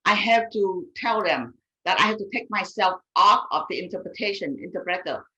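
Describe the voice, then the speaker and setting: Woman, medical interpreter, bright tone, halting, self-correcting. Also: medium pitch, expressive, poor audio. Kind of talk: panel discussion after a live-streamed reading.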